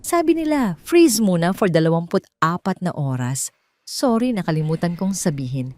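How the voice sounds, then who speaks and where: A woman voicing a calm betrayed wife who feigns innocence and tenderness in an audio story. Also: on the low side, moderate pace.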